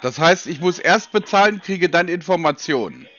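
A man's voice: high voice, lively intonation, moderate pace, a bit bright. A man questioning a caller on the phone in a pedantic, sceptical way, often cutting in. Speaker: customer on a sales call. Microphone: phone call. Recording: dull, lo-fi phone call audio.

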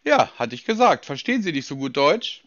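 A man's voice: fairly high pitch, sing-song, fairly quick, fairly bright tone, no echo. A German man speaking in a sarcastic, scolding way as he confronts a scam caller. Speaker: person on the receiving end of a scam call. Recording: dull, dry recording.

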